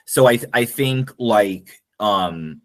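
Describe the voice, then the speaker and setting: Lively-toned, agreeable low-voiced man. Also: slow pace. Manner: agreeable, backchannels and tentative suggestions. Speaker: podcast co-host. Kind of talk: casual conlang design discussion.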